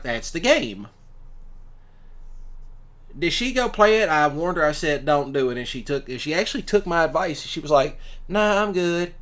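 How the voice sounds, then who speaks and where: A man, rambling casually through personal stories as a commentator, in a folksy, blunt, colloquial way. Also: medium pitch. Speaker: ASMR roleplay creator and commentator.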